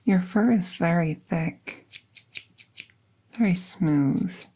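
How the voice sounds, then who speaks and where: A woman playing a gentle, playful fae veterinarian in a soft, soothing ASMR roleplay, with breathy, whispery speech and formal wording. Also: fairly low pitch, very slow. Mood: gentle, wry. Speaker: audio roleplay performer.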